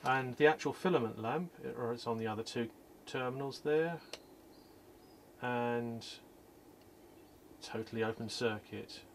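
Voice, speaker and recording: A man calmly explaining an electronics repair at his workshop bench, step by step, with frequent ums and uhs. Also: medium pitch, unhurried, pauses often, slight background noise. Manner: calm, methodical, many ums and uhs. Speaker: electronics restorer. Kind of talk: technical repair walkthrough.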